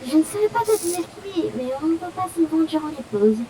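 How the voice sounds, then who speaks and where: A woman voicing a polite, curious female AI robot in a French animated fan dub. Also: very high-pitched, a little fast, heavy background noise. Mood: matter-of-fact.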